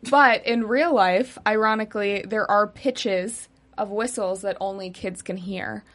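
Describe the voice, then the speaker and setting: A young woman hosting a casual TV recap show, walking through the plot with frequent pauses and easy laughter. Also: medium pitch, fairly bright tone. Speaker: host.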